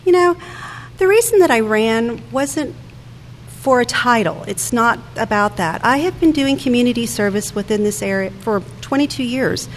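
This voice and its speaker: A woman's voice: a little high, expressive, normal speed. An older woman speaking earnestly and plainly as a local candidate at a public forum. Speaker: city council candidate. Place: public forum room at a school. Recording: reverberant hall, noticeable background noise.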